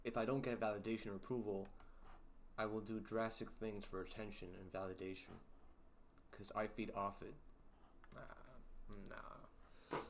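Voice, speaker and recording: A young man hosting a casual livestream, reading chat aloud and joking around, with a deep voice. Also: fairly even intonation, normal speed, long pauses, slight background noise. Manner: playful, jokey, sings snippets and reads chat aloud. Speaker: livestream host.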